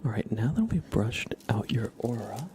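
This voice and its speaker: A man hosting an ASMR stream in a soft, breathy half-whisper, speaking gently and informally. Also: mid-range voice, sing-song, moderate pace, noisy background. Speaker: ASMR livestream host.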